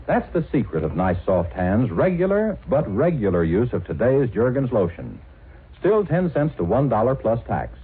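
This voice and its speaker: A man's voice: mid-range voice, wildly swooping pitch, normal speed, slightly rough, phone-quality sound. An American man announcing a 1940s radio commercial with persuasive, polished salesman delivery.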